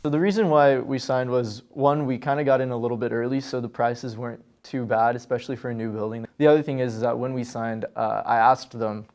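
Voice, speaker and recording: A man's voice: medium pitch, fairly even intonation, fairly quick, few pauses, a little mellow. A young man, a student, explaining casually in an interview. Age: young adult.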